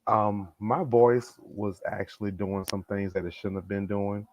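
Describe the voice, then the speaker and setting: Male co-host, low voice a bit congested from a sinus infection, dry close sound, animated. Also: unhurried, no room sound, lo-fi sound. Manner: animated storyteller, clears throat often. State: voice deepened from a sinus infection, clearing up. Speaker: podcast co-host. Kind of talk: casual chat.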